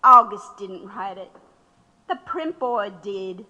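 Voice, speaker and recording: Audio drama: proud, impassioned woman activist under questioning. Bright, forceful voice, long hall reverb. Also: mid-range voice, lively intonation, takes it slowly, lo-fi sound. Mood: impassioned recounting. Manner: impassioned, storytelling.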